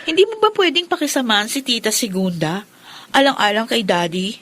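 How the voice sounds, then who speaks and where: A woman's voice: a little high, lively intonation, brisk, fairly bright tone. A woman playing a fair-minded, outspoken young sister in a Filipino radio drama. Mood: reproachful.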